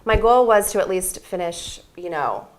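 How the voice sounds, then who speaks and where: A woman's voice: lowish voice, lively intonation, normal speed, slight background noise. A young woman chatting as an interview guest, talkative and giggly, with many fillers. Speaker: interview guest, audiobook narrator. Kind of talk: casual interview chat.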